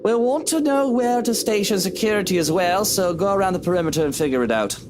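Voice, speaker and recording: A man's voice: high-pitched, lively intonation, fast, background noise. A man playing a haughty, sarcastic, impatient rich husband in a dramatic visual novel, with a petulant and imperious manner. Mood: commanding. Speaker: game character.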